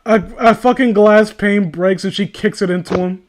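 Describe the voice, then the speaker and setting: A man's voice: high voice, moderate pace, a little hiss or hum. A man chatting casually and sarcastically, with plenty of swearing, as he hosts a comic fan fiction reading. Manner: animated, sarcastic, profane. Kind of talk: casual comedic chat and riffing.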